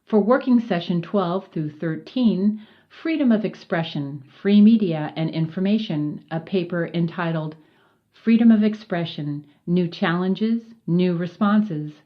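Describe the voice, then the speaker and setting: A woman reading a written report aloud in a formal, measured way, spelling out acronyms letter by letter. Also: fairly low pitch, dull audio. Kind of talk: scripted narration read from a report.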